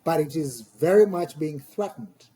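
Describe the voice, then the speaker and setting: A middle-aged man with a Tanzanian accent, speaking a second language in thoughtful interview talk, hesitant, with pauses and repeated words as he explains. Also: fairly high pitch, expressive, mellow. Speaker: podcast guest, scholar.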